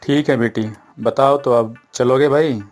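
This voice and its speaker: A man's voice: mid-range voice, steady tone, unhurried, soft background music, lightly acted. A man playing a wealthy, self-important businessman father who indulges his daughter, in a storybook tale. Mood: agreeable.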